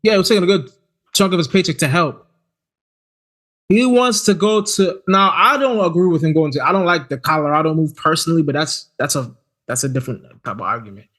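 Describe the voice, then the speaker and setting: Man, podcast co-host, slightly high voice, animated casual talk, frequent stammers and restarts. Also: fairly quick. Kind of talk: casual chat.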